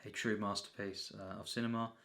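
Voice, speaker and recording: Gravelly, flat-toned man reviewing a film. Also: lowish voice. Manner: halting, repetitive, frequent throat clearing and filler phrases. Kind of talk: unscripted, rambling film review.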